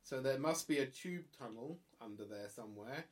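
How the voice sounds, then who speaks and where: A man narrating a tabletop horror game as game master, improvising in a conversational, halting way and thinking aloud. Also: mid-range voice, expressive.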